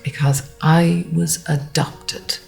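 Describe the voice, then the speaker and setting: An actress playing a wounded older woman recalling a harsh childhood in a halting, sombre testimony. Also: low-pitched, flat delivery, creaky, darkish tone, faint music behind, full character voice. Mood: sombre, hurt. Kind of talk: dramatised first-person testimony monologue.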